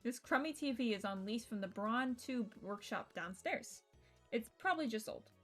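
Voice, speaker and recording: A woman streamer reading visual novel narration aloud in a playful, expressive storytelling way, with casual asides. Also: on the high side, normal speed. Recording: music behind the voice.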